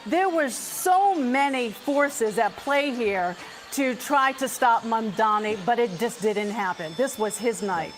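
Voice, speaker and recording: A woman's voice: fairly high pitch, animated, slow, soft background music, low-quality recording. A woman anchoring live election coverage on television, talking through the news and putting questions.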